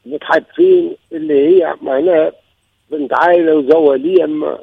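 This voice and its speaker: A man's voice: fairly high pitch, brisk, creaky, breathy edge, no echo, phone-quality sound. A man in his sixties with a Tunisian accent, calling in and telling of trouble in his marriage, emphatic and repeating himself. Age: 60s. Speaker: caller. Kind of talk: recounting a personal marital problem.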